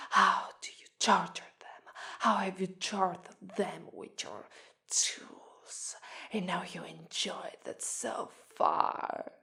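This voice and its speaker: Slow, menacing Italian-accented policewoman, acted by a woman. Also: fairly low pitch, sharp tone, full character voice. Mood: menacing whisper. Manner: taunting, slow, drawn-out, slipping into whispers. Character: menacing, sadistic, vengeful policewoman interrogating a killer. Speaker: ASMR voice actress. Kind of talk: ASMR roleplay voice acting.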